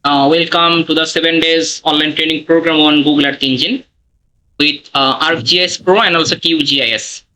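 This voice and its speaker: Bright-voiced man teaching an online class. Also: on the high side, fairly even intonation, moderate pace, low-quality recording. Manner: non-native English, frequent "okay" and fillers. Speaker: online course instructor. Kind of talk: live online training session. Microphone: video call.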